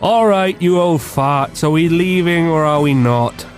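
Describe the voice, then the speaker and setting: An actor playing a pompous, dim-witted, excitable detective in a blustering manner for a comic radio drama. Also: male voice, on the high side, measured pace, close to an everyday voice. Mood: breezy. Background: music.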